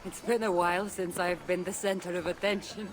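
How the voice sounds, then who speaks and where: A woman playing a worldly, playful warrior heroine in a video game cutscene, with a measured delivery. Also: lowish voice, flat delivery, close to an everyday voice, low-quality recording. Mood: sincere. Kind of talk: video game cutscene acting, partly rhymed toast.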